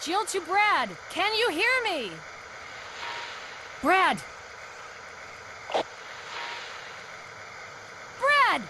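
A woman's voice: very high-pitched, sing-song, drawn-out words, background noise, heavily acted. A woman playing an earnest, resolute young special police operative in a video game cutscene. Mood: urgent.

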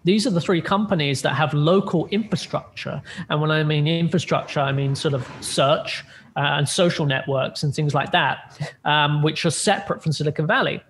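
A man's voice: on the high side, normal speed, fairly bright tone, slight background noise. Man explaining tech on a video call. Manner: explanatory, lists points with many fillers and restarts. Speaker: tech analyst guest. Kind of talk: conversational interview answers. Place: home room with plain light blue wall. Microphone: video call with earbuds.

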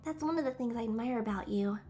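A woman voicing a feisty, affectionate, excitable teenage superheroine in a fan comic dub, with expressive delivery. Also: fairly high pitch, fast, soft background music, close to an everyday voice. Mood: caring. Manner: expressive, exclamatory.

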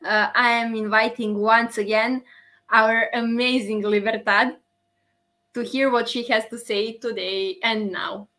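A woman's voice: a little high, fairly even intonation, measured pace, sharp tone. Lady hosting a poetry reading online, calling up each poet, easygoing and encouraging. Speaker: host.

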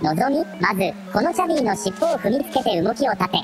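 A woman's voice: fairly high pitch, very animated, quick pace, over music. A woman playing a coolly analytical assistant creature in a radio drama, reporting in clipped, formal phrases. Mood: cool, commanding. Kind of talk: Japanese radio drama acting.

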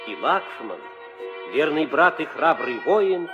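An actor playing a solemn storyteller, narrating an epic in poetic style for an audio drama. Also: male voice, on the high side, very animated, slow pace, dull audio. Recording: old studio recording under loud music.

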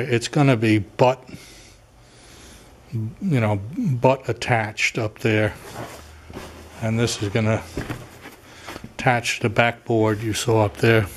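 A middle-aged man casually narrating his home repair work, unhurried and rambling with frequent uhs and throat clearing, recorded on a handheld camera in his garage workshop. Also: fairly low pitch, slight background noise. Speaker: DIY home repair vlogger. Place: garage workshop and attic crawl space, indoors.

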